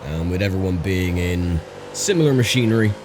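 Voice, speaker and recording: A man with a croaky voice talks his way through a racing game in an easy, chatty manner, often pausing on fillers. His voice sits very low. Clear engine and car noise from the game runs under his voice. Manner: relaxed, chatty, thinking aloud with fillers. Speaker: gaming channel host. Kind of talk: casual racing game commentary.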